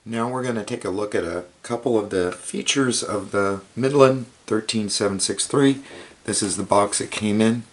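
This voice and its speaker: A man's voice: fairly low pitch, normal speed. A man giving a plain, matter-of-fact, step-by-step hands-on product demonstration. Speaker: product reviewer, presenter.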